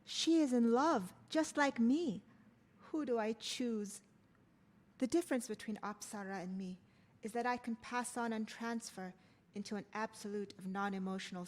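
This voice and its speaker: A woman's voice: medium pitch, unhurried, darkish tone, close to an everyday voice. A woman reading the part of a lovelorn, regal queen in a poetic play, solemn and declamatory. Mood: yearning, solemn. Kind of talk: play table read with poetic monologues.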